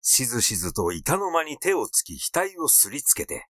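A man reading literary fiction aloud as a narrator, in a measured storytelling delivery. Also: medium pitch, very animated, fairly bright tone, no room sound, studio quality. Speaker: audiobook narrator.

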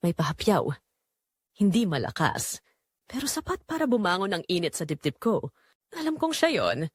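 A woman's voice: medium pitch, no room sound, studio quality. A woman with a Filipino accent reading a first-person romance drama as its narrator, in a scripted dramatic storytelling style.

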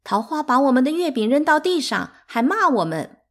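An indignant little girl from a storybook, done by a woman reader. She protests in a plaintive, tearful way, pitched higher than plain narration. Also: sing-song, normal speed.

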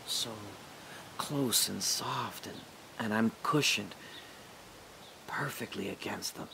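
A man performing a reflective audio drama monologue, speaking slowly and often dropping to a whisper. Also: lowish voice, background noise. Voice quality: slow, gravelly and husky, often dropping to a whisper. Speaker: radio drama narrator and lead.

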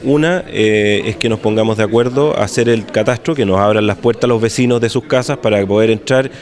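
A man's voice: lowish voice, fairly quick, darkish tone. A man, an engineering consultant explaining plans methodically in a wood-paneled room into handheld microphones. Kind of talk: press statement. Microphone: cluster of handheld microphones.

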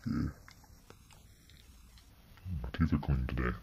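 Very deep, gravelly young man, slow. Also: fairly even intonation, dark tone, muffled recording. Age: 20s. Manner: halting, meandering, trailing off. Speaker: vlogger. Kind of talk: casual rambling update vlog. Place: small bedroom indoors. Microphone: webcam at desk distance. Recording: noisy webcam audio.

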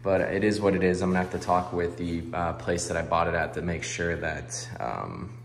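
A man's voice: deep voice, moderate pace, creaky. A young man chatting casually to his viewers as he walks through an empty condo under renovation, filming himself on a handheld phone. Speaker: real estate investor vlogger. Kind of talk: casual walkthrough vlog. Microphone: phone camera held at arm's length.

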